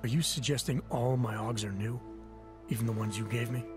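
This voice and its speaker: A man's voice: mid-range voice, a little fast, music in the background, lightly acted. An actor playing a terse, guarded augmented male agent in a video game cutscene.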